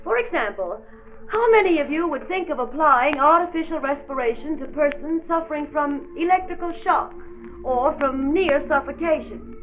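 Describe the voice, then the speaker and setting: A formal American class instructor in an old radio play. Her high voice explains step by step, on a narrow 1940s disc recording. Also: animated, moderate pace. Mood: instructive. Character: brisk, instructive female first-aid class instructor. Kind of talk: 1940s radio acting, lecture.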